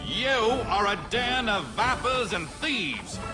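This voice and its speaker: Fierce old president, slow oratory. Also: male voice, high-pitched, big pitch swings, full character voice. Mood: defiant fury. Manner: oratorical, defiant. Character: fierce, righteous old president denouncing his enemies. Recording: long reverb, music behind.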